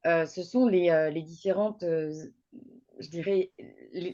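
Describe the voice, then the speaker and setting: Low-voiced woman in her thirties, hesitant. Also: dark tone. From southern France, Toulouse. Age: 30s. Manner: hesitant, many fillers and restarts. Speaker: podcast guest speaker. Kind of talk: personal testimony monologue. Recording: dull lo-fi recording.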